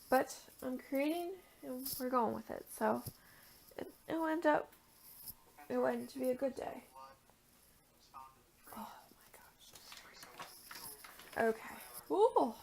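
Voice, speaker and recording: Young woman, gravelly raspy voice, tired, chatty crafting tutorial, thinks out loud, murmurs to herself. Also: sits high, takes it slowly, long pauses. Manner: chatty, thinks aloud while working, drops to a murmur at times. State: tired at the end of a long day. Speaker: art journaling vlogger.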